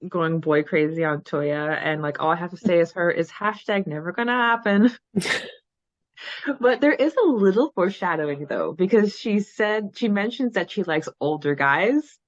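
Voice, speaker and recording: Giggly woman podcaster, slightly low dull sound. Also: lively intonation, moderate pace, no room sound. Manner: giggly, chatty. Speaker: podcast co-host. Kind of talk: casual chat about a fan fiction.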